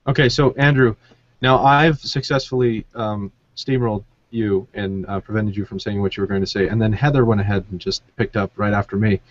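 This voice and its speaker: A man hosting a casual podcast discussion, halting as he searches for words. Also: on the low side, steady tone, muffled recording. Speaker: podcast host.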